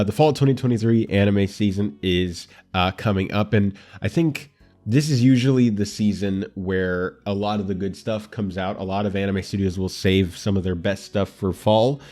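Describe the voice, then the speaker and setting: A man's voice: on the low side, a little mellow. A man hosting a casual anime commentary show, congested and nasal from allergies, with a dry throat, chatting straight to his viewers. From United States.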